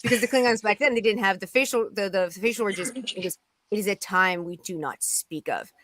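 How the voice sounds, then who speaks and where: A woman's voice: medium pitch, a little fast, fairly bright tone, no echo. A woman with a mid-range voice, recorded very dry and close. She talks casually like a fan telling friends about something she enjoys. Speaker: table read participant.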